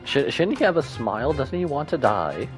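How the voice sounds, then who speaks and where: A man's voice: medium pitch, very animated, moderate pace, over music. A man giving casual live reaction commentary as a game streamer, slangy and speculating aloud.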